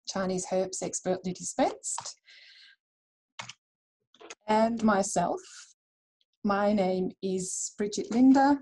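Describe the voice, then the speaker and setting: A woman's voice: mid-range voice, mellow, poor audio. A woman from Switzerland presenting a webinar over a video call, in a friendly, chatty way with fillers and restarts. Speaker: herbal dispensary founder presenting a webinar.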